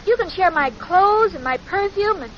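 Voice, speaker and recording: An actress playing a sweet, naive, dim-witted young roommate with an American accent in a 1940s radio comedy. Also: female voice, extremely high pitch, expressive, a bit bright. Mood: sweet, earnest. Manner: naive, earnest, slow on the uptake. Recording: muffled, noisy vintage radio, studio audience.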